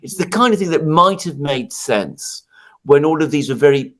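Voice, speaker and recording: A man from Greece giving his views in a casual discussion, animated and full of restarts, with laughs between points. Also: a little high, moderate pace.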